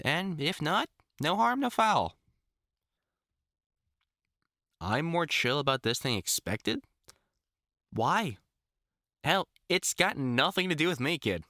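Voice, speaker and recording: A man's voice: fairly high pitch, bright, forceful tone, no room sound, exaggerated voice. A man playing an older, experienced vampire hunter in an audio roleplay, talking informally and reassuringly like a mentor, with dry jokes and mild swearing. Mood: accepting, relaxed. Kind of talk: intimate one-sided audio roleplay, conversational acting.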